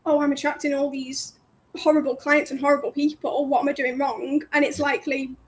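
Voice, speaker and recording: Bubbly high-voiced young woman on the phone. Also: level tone, fairly quick, slight background noise. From North Wales. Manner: friendly, bubbly, outgoing. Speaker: guest, cleaning business owner. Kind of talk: casual interview chat. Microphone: phone call.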